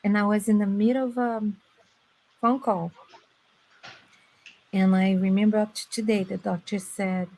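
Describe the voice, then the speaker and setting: A woman's voice: medium pitch, level tone, measured pace, long pauses, mellow, poor audio. A woman from Brazil with a strong Brazilian Portuguese accent, a guest telling a personal story on a live stream who often stops and restarts while she searches for words.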